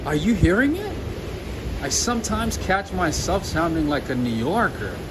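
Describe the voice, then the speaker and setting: A man hosting a night-time walking livestream outdoors in a city, chatting casually with his viewers. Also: normal speed. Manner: chatty, addresses viewers, lively swings in pitch. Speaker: livestream walking-tour host. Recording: loud street noise. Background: loud city traffic noise.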